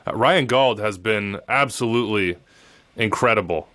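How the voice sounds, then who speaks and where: A man's voice: lowish voice, moderate pace, sharp tone, dry recording. A man hosting a soccer show, asking a coach questions and bantering casually. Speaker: show host.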